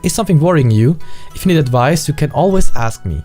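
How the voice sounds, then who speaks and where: A man reading a gentle young woman friend, German accent. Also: a little high, animated, fast talker, soft background music, lightly acted.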